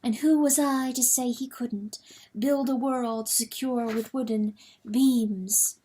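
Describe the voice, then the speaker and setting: A woman's voice: fairly high pitch, steady tone, takes it slowly, mellow, slight background noise. A woman voicing a loving, stubborn hen in distress, pleading and insistent, in a dramatic animal fantasy audiobook. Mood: defiant singing.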